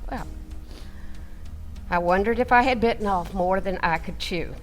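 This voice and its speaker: A woman playing an excitable flight attendant who tells her training story theatrically, with deliberate delivery and loud commands. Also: medium pitch, faint music behind, close to an everyday voice. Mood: earnest, dramatic. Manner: dramatic, deliberate, breaking into loud barked commands. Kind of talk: theatrical first-person storytelling for a radio play.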